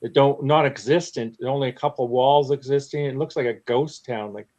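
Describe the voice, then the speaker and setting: A middle-aged man, a military veteran, speaking in a casual podcast discussion, halting and searching for words. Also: mid-range voice, darkish tone.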